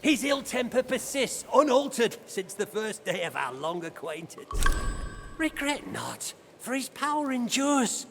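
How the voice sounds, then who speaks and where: High-pitched, creaky old deity. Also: male voice, sing-song, a little slow, fairly bright tone, faint music behind, heavily acted. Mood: wry, lofty. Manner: archaic, theatrical, singsong verse delivery. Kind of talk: fantasy video game acting.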